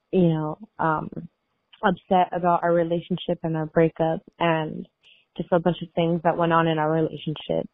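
Over a narrow phone line, a woman with a low voice leaves a confessional voicemail. She speaks haltingly as an anonymous caller, with little melody. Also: dry recording.

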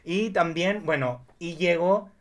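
A man's voice: high voice, slow, crowd noise. A man chatting candidly as a podcast guest, in a playful, rambling way. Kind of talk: casual, candid chat about films.

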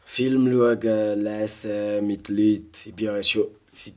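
A young man from Switzerland with a Swiss German accent, a rapper guest who talks in a relaxed way and drops English phrases into his German. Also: fairly low pitch, slow. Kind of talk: casual interview chat.

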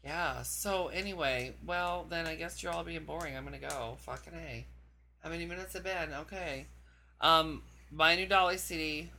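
A man co-hosting a podcast in casual chat, campy, loud and giggly with friends in a room. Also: a little high, moderate pace, vocal fry, slight background noise. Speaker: podcast co-host.

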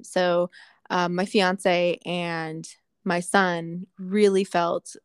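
A woman's voice: medium pitch, clear, resonant tone, dry recording, studio quality. A woman in her late thirties chatting openly and with self-deprecating humor, with lots of fillers. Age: 30s. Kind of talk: casual chat.

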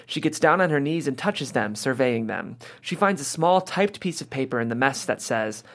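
A man's voice: mid-range voice, animated, normal speed, a bit bright. A man narrating scene headings and stage directions at a script table read.

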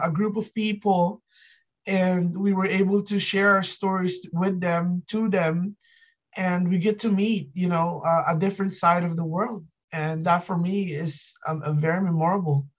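A man's voice: high-pitched, normal speed, highs cut off. Filipino man, easygoing podcast chat. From Philippines. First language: Ilocano. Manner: many fillers, relaxed and reflective. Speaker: podcast co-host. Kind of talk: casual chat.

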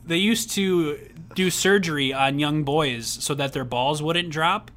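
A young man co-hosting a casual advice podcast, earnest and rambling with restarts, laughing easily, who says his voice is on the high side. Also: a little slow, fairly bright tone. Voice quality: says he has a rather high voice. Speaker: podcast co-host.

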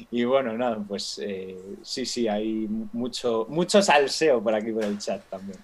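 A man's voice: lowish voice, slow pace, low-quality recording. A young man from Spain with a Peninsular Spanish accent, chatting as a guest YouTuber in a hesitant, friendly way full of fillers. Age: young adult. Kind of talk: casual live-stream chat.

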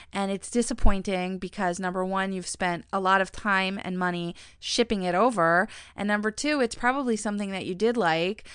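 Chatty woman with a smooth, dry voice. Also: medium pitch, bright, forceful tone, dry recording. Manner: chatty, laughs often, emphatic repetition. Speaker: relocation advisor giving tips. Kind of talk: casual advice talk to camera.

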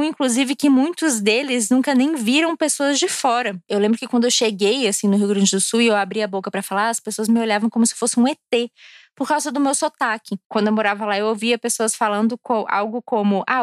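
Uma mulher de Brasília falando de forma casual e cheia de rodeios num vlog, um pouco alegre depois de beber. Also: female voice, a little high, no echo, clean studio sound. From Brasília, Brazil. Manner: chatty, digressive, with frequent fillers and restarts. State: a little tipsy from drinking. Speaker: vlogger.

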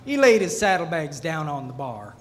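A man's voice: fairly high pitch, big pitch swings, moderate pace. A man playing a tavern master who tells a comic fantasy western tale in a wry, folksy way. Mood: storytelling. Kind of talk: narration in comic audio theatre. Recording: noisy, reverberant live theatre hall.

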